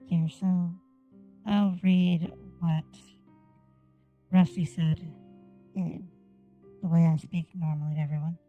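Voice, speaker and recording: Japanese-native woman streamer, deep and dark, slow deliberate delivery, muffled lo-fi mic sound. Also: flat delivery, soft background music. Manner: deliberate, careful enunciation. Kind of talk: casual gameplay stream chat. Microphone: desk microphone on an arm.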